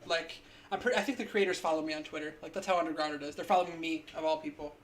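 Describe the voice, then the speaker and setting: A woman's voice: deep voice, fairly even intonation, rapid-fire, a little hiss or hum. A woman in her twenties co-hosting a casual podcast, rambling with lots of likes and ums. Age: 20s. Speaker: podcast co-host.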